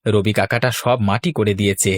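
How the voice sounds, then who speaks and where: A man voicing an excitable village fellow in a cartoon. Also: fairly low pitch, normal speed, no room sound, natural voice. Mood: dejected. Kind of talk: Bengali cartoon voice acting.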